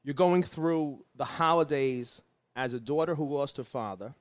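A man hosting a casual podcast chat, talking in a rambling, animated way. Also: medium pitch, dry recording. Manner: rapid-fire, rambling, animated. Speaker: podcast host. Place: pastry shop.

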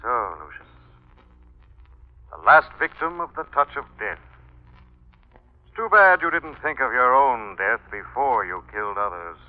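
An actor playing a relentless, mocking invisible avenger of crime in 1940s radio drama style, menacing and deliberate. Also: male voice, mid-range voice, expressive, close to an everyday voice. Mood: grim, ominous. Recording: old muffled radio broadcast with music.

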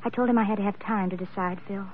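A woman's voice: medium pitch, steady tone, fast, narrow, tinny audio, lightly acted. An actress playing a devoted, anxious young woman in love, pleading and hesitant, in 1940s radio drama style. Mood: confiding. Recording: thin, faintly noisy vintage radio recording.

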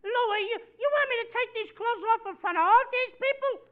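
A performer playing a bratty, squeaky little kid brother in a 1940s radio comedy. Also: fairly quick. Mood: cheeky whining. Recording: thin phone-like vintage radio recording.

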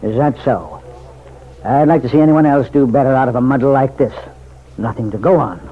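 An actor in an old-time radio drama playing a gruff, weary small-town sheriff who is guarded and dryly skeptical. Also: male voice, medium pitch, brisk, close to an everyday voice. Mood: irritated, defensive. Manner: gruff, laconic, folksy. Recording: tinny, narrow vintage radio recording.

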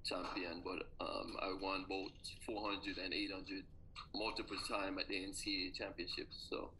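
Bright-voiced Jamaican man telling his story. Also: deep voice, level tone, normal speed, a little hiss or hum, poor audio. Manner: earnest, reflective storytelling. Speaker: track coach and former athlete. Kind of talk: recounting personal stories in an interview.

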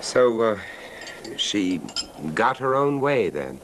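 A man playing a calm, reserved confidant who gently prompts in a measured way in a radio drama. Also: mid-range voice. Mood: discreet, prompting. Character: calm, low-voiced male listener, discreet, gently prompting. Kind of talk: old time radio drama acting. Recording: noisy vintage radio drama recording.

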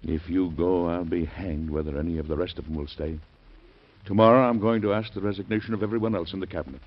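An actor playing a stubborn, combative older male president in an old radio drama, with a husky voice and blunt manner. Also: low-pitched, steady tone, fast. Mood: resigned. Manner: defiant, blunt. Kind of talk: 1940s radio acting. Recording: dull lo-fi old radio recording.

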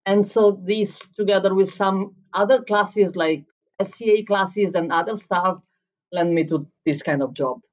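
A woman from Italy with an Italian accent, answering interview questions as a barista and coffee coach, often pausing and restarting as she looks for words. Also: medium pitch. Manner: searching for words in English, frequent restarts.

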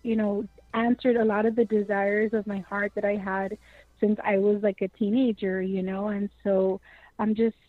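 A woman's voice: mid-range voice, flat delivery, moderate pace, no echo. Woman, 40s, singer phoning in, effusive, tinny phone line, dry sound. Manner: effusive, lots of "you know". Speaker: singer, guest. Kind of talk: phone-in interview.

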